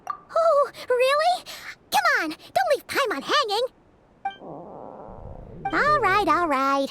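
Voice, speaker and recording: A woman voicing a small, childlike fairy companion in a video game, squeaky, excitable and chatty, full of exclamations. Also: slow pace, over music, exaggerated voice. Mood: teasing, cheerful. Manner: exclamatory, speaks in third person. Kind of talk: animated video game voice acting.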